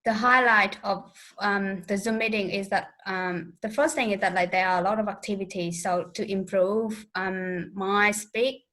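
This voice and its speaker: A woman's voice: mid-range voice, fairly even intonation, moderate pace, hardly any pauses, fairly bright tone, rough recording. Hesitant woman with many fillers. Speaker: speech club member. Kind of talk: casual spoken reflection on a video call. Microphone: video call.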